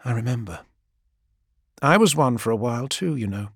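A man narrating an audiobook voices a weary woman leader who speaks calmly and quietly. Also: mid-range voice, moderate pace, husky, dry recording, studio quality.

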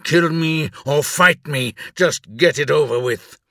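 A man's voice: mid-range voice, very animated, slow pace, slightly rough, dry recording, studio quality, heavily acted. A man voicing a proud, anguished captive warrior in an audiobook, intense and declamatory, full of defiant rage. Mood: angry, insistent.

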